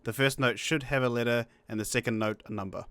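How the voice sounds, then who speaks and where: A man with a medium-pitched voice walks players through a game guide. His delivery is casual and breezy, with slangy instructions, recorded very dry with no room sound. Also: fairly even intonation, fast talker, a bit bright, clean tone, dry recording. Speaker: guest co-narrator of a gaming guide. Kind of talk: casual video game tutorial narration.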